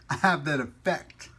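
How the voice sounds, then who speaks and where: A man's voice: fairly high pitch, pushed and bright, slightly rough. A man chatting casually and playfully in a food vlog, rambling a little as he talks while eating. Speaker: food vlogger. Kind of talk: casual mukbang chat.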